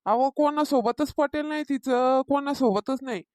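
A woman's voice: high-pitched, moderate pace, a little mellow, dry recording, studio quality, natural voice. A woman voicing a meddling, insistent older village gossip in a cartoon comedy, with a rural Maharashtrian accent. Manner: insistent, tattling. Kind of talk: Marathi cartoon comedy dialogue.

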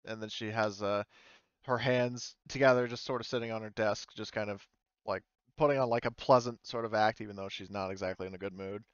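Guy running an online rpg, casual and halting, smooth voice, bit dull close mic. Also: on the low side, steady tone, no room sound. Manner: hesitant, halting. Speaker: game master. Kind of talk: casual narration of an online tabletop game.

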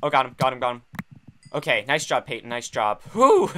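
A young man with a slightly raspy voice, chatty and upbeat, commentating his own gameplay on a headset mic in a bedroom. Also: medium pitch, sing-song, brisk, slight background noise. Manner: upbeat, chatty, drops to quiet muttering during fights. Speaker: gaming channel host.

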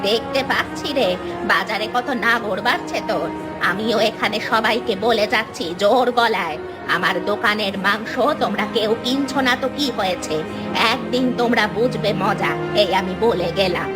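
A woman's voice: mid-range voice, moderate pace, over loud music, close to an everyday voice. A woman voicing an aggrieved, cantankerous old village meat seller in a cartoon, with rustic, complaining speech. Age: older. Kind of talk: cartoon character acting.

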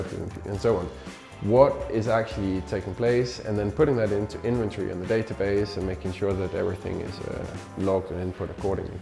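A man's voice: on the low side, vocal fry, mellow. A man in his thirties walking through a warehouse and office, casually updating viewers while filming himself at arm's length. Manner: conversational, searching for words with fillers. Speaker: company team member giving a project update. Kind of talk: casual walk-and-talk vlog. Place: large warehouse and office indoors. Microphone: handheld camera at arm's length.

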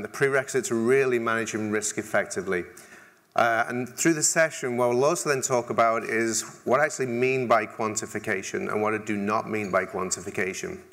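A man's voice: fairly low pitch, fairly bright tone. A middle-aged man with an English accent giving a keynote talk on a large conference stage, conversational, with dry humor and frequent restarts. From England. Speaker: conference speaker.

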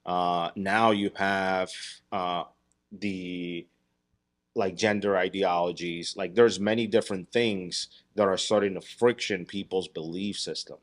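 A man in his thirties on a podcast in a dark studio, explaining history and culture at length in a measured way. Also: low-pitched, fairly even intonation. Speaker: podcast co-host.

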